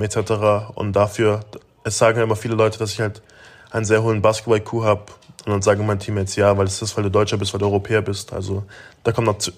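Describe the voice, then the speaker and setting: A young man from Germany in his late teens, answering interview questions in a relaxed, rambling way with many fillers and restarts. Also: fairly low pitch. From Germany (Augsburg). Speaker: interview guest, basketball player.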